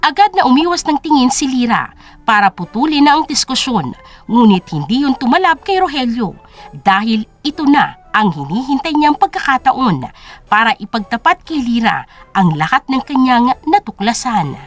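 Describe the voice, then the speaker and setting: A woman's voice: on the high side, sing-song, normal speed, faint music behind. A woman narrator reading a scripted story in a dramatic storytelling style.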